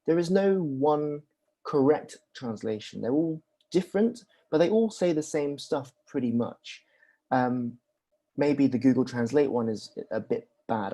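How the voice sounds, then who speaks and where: A man's voice: mid-range voice, dark tone. A young man giving a conversational lecture, full of ums and restarts and rhetorical questions.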